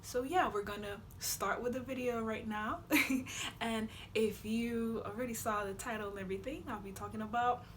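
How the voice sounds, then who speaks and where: A woman's voice: fairly high pitch, normal speed, a bit bright, slight background noise. A young woman from Honduras vlogging casually to camera in her room, chatty, with many fillers and frequent laughs. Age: young adult. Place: bedroom with a flag behind her.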